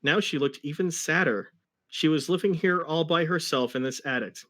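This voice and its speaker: A man giving a mock-dramatic reading of fan fiction, narrating deadpan with casual asides. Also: a little high, moderate pace. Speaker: co-host reading a story aloud.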